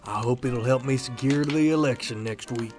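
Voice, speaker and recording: A man's voice: medium pitch, a little mellow, faint music behind, lightly acted. A man playing a tired, formal 1940s governor in a radio drama. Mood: courteous. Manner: formal, measured old-fashioned politician. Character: weary ambitious 1940s male governor, stiff and formal. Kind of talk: fan radio drama acting.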